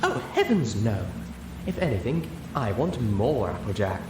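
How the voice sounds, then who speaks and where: A man's voice: on the low side, big pitch swings, unhurried. A man voicing a refined, demure lady pony in a fussy, theatrical manner. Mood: genteel. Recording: roomy with clear background noise.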